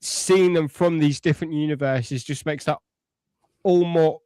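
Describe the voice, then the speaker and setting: A man's voice: fairly high pitch, steady tone, a little slow, darkish tone, clear, resonant tone, dry recording. A man giving his opinions as a podcast co-host, in earnest, explanatory casual chat.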